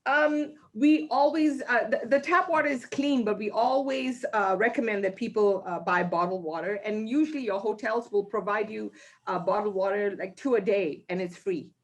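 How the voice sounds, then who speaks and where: A woman's voice: medium pitch, normal speed. A woman from Malaysia answering travel questions in a webinar, talking chattily with many fillers and restarts. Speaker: tourism guest speaker.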